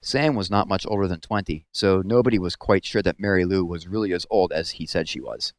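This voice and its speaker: A man narrating a story aloud as a scripted read-aloud, with measured, expressive phrasing. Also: deep voice, no room sound.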